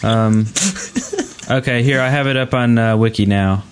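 A man speaks as the host of a loose group podcast about gaming. His voice sits at an ordinary middle pitch, and his delivery is informal and conversational. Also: fairly even intonation, normal speed, slight background noise. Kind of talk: casual group podcast chat about a video game.

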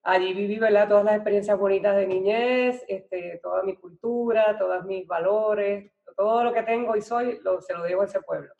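A woman's voice: mid-range voice, fairly even intonation, moderate pace, vocal fry, hardly any pauses, narrow, tinny audio. A middle-aged woman with a Puerto Rican accent telling her story conversationally as a podcast guest, chatty and mixing in English business terms. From Puerto Rico. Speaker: podcast guest, real estate and banking professional.

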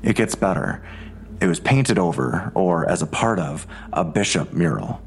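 An actor playing a terse, skeptical police detective in an audio drama, with a dry, deliberate, understated way of talking. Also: male voice, low voice, expressive, a little hiss or hum. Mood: measured, wary. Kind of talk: full-cast audio drama dialogue.

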